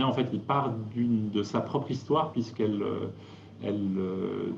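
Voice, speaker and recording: A man presenting a theatre season live, halting with many fillers and restarts. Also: on the low side, vocal fry, mellow, slight background noise. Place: live video call. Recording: dull lo-fi roomy video-call audio.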